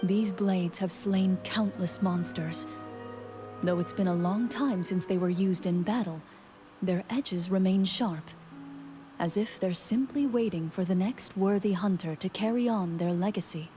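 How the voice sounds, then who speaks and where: Woman as calm narrator, mid-pitched voice, measured descriptive reading, game music behind. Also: natural voice. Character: calm storybook narrator of a tabletop adventure. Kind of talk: scripted narration.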